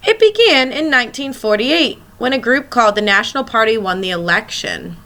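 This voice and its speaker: A woman's voice: on the high side, animated, fairly quick, sharp tone. A woman schoolteacher reading a children's picture book aloud, expressively and as if speaking directly to young listeners.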